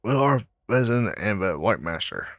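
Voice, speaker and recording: A man's voice: fairly low pitch, sing-song, normal speed, fairly bright tone. A man giving casual commentary while he plays a video game. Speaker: gaming video creator.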